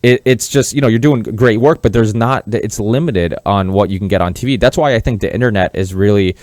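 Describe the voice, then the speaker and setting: A man with a fairly deep voice hosts a conversational interview podcast. He talks earnestly and tends to ramble, and the sound is dry, free of room reflections. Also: a little fast, a little mellow, no room sound. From Massachusetts, born in Portugal. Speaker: podcast host.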